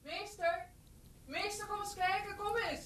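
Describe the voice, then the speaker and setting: An actress playing a gentle young woman in a Dutch radio drama, speaking earnestly and emotionally, often breathy. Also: very high voice, fairly even intonation, measured pace, slight background noise. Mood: delighted, excited. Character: gentle young woman, devoted niece of a doctor.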